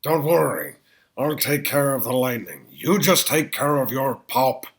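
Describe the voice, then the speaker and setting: A man voicing an excitable, eccentric old scientist in a comic table read, raspy and theatrical, swinging from hushed to booming. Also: unhurried. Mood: earnest, explanatory.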